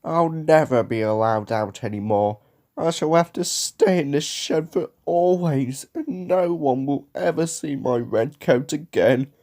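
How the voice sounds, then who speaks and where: A man's voice: medium pitch, animated, takes it slowly, a little mellow, pitched above the performer's own voice. A man voicing a proud, emotional and determined steam engine with a puffing, exclamatory manner for a storybook. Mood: sad.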